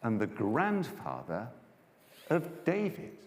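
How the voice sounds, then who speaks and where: A man performing a dramatised scripture narration in a theatrical, declamatory manner with deliberate pauses, live in a large church hall. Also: medium pitch, huge pitch swings, creaky. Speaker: Bible storyteller performer. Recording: echoey church hall with long reverb.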